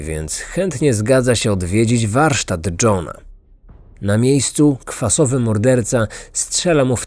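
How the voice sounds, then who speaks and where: A man hosting a true-crime podcast, reading a scripted story in a measured storytelling manner. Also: medium pitch, a little mellow. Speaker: podcast host and narrator. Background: music.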